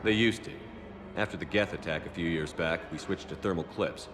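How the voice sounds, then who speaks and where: An actor playing a steady human soldier commander in a video game cutscene, speaking in a calm, dry, deadpan way. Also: male voice, low voice, fairly quick, lightly acted.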